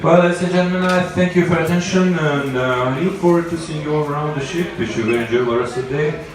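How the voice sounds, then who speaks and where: A man speaking as a cruise ship captain, making a formal, measured and courteous announcement with halting pauses over a public address speaker. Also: fairly high pitch. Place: indoors on a cruise ship, heard over loudspeakers by a walking passenger. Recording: echoey ship PA from far off, crowd chatter. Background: passengers chattering.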